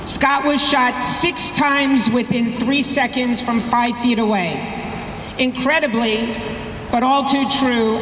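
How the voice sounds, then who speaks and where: A woman's voice: sits high, fairly bright tone. A middle-aged woman giving a prepared, emphatic speech at an outdoor rally. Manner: measured, earnest, emphatic. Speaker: rally speaker. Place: outdoor rally in front of a courthouse. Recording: echoey public address outdoors, crowd noise.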